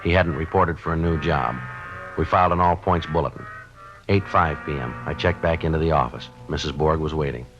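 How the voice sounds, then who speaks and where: An American actor playing a terse, level-headed police sergeant in an old radio drama, clipped and deadpan. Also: male voice, rumbling low, fairly quick. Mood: deadpan, grave. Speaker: detective narrator. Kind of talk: 1950s radio drama, scripted narration. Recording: dull old radio recording, music behind.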